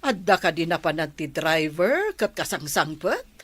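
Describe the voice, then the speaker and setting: A woman with an Ilocano accent playing an older, doting grandmother in a radio drama, speaking in a gentle, fond way. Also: fairly low pitch, very animated, normal speed. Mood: worried. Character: doting grandmother, soft-spoken and fussy.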